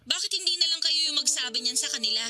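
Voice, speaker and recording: A woman's voice: high voice, animated, rapid-fire, pushed and bright, exaggerated voice. An actress playing a hurt, resentful grown daughter reproaching her father in an emotional radio drama. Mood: reproachful. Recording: dramatic music behind the voice. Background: music.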